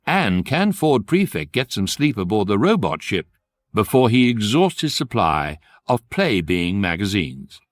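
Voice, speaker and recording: An actor playing the wry, urbane, measured narrator of a guidebook in a radio comedy, with a British accent. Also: male voice, fairly low pitch, sing-song, no room sound. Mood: teasing.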